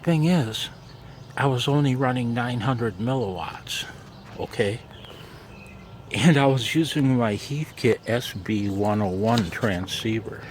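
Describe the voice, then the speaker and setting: A man with a gravelly voice chatting casually in a rambling, self-deprecating way for his vlog. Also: takes it slowly. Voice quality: low, gravelly. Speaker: amateur radio vlogger. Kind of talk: casual chat. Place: outdoors in a backyard garden and deck. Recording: outdoor background noise.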